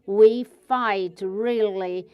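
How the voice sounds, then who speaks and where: Woman, European Portuguese accent, researcher at a conference podium, smooth voice, unhurried delivery. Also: medium pitch, steady tone, highs cut off. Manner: careful, searching for words. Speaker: guest speaker, university researcher. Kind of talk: conference presentation.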